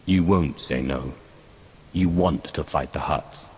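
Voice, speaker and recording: An actor playing a cold, imperious Sith lord in a video game, speaking in a curt, authoritative way. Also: male voice, extremely low pitch, takes it slowly, darkish tone, breathy edge, heavily acted. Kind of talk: video game cutscene acting. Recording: music behind.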